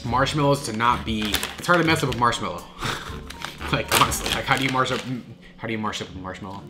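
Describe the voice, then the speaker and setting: A man in his thirties with a nasal, stuffed-up voice from a cold, chatting casually to camera while trying snacks in his kitchen. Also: on the low side. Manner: chatty, animated, with frequent restarts. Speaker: food vlogger. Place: home kitchen, indoors.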